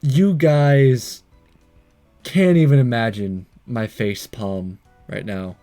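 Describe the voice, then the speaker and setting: A man's voice: mid-range voice, fairly even intonation, takes it slowly, dark tone. A man reading a visual novel aloud as a let's play host, stumbling over lines and re-reading them, with commentary. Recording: music behind the voice. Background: game music.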